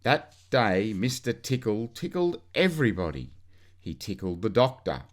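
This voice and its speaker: A man reading a children's storybook aloud in an expressive way, drawing out key words for emphasis. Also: on the low side, a little slow.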